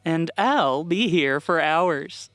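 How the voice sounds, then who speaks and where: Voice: mid-range voice, expressive, slow pace, a bit bright, slight background noise. An actor playing a friendly, teasing coffee shop barista in a comedy audio drama, talking in a casual, folksy way. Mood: friendly, ribbing.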